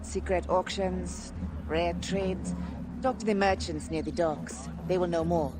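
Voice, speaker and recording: An actor playing a guarded, foreboding underworld informant in a video game, talking in clipped, ominous phrases. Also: male voice, high-pitched, steady tone, a little slow, very noisy. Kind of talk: dramatic video game acting. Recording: loud background music.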